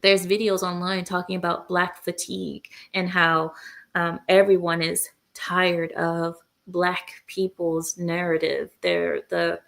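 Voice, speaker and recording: Woman with a gravelly, fry-tinged voice. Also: lowish voice, flat delivery, slow, fairly bright tone. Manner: blunt and opinionated, with frequent hesitations. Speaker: livestream host. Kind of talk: casual livestream chat, reading viewer comments.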